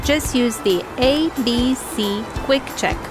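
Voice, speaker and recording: A woman's voice: on the high side, slow, music in the background. A woman reading scripted instructional narration.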